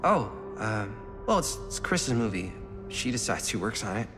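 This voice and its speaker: Casting: awkward, earnest American guy, young adult, game cutscene, casual and hesitant, scored with clear music. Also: lowish voice, sing-song, normal speed. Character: earnest, awkward young amateur filmmaker with a girlfriend. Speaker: video game character. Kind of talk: naturalistic game cutscene acting.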